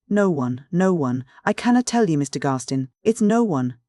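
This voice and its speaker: A man voicing a frightened, tearful young woman, pleading in a high strained voice. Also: expressive, normal speed, a little mellow, no echo.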